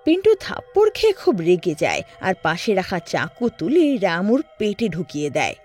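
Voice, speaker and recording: Woman narrator, extremely lively intonation, dramatic scripted storytelling, music behind. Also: on the low side, moderate pace.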